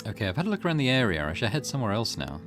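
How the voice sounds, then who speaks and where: A man's voice: lowish voice, sing-song, racing, music in the background. A man voicing a wary, reserved young protagonist of a mystery game, hesitant and understated. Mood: pensive.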